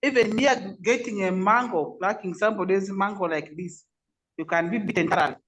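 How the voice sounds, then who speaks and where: A man's voice: sits high, a little fast, a bit bright, slight background noise, rough recording. A man with a Ugandan accent telling a personal story in an online meeting.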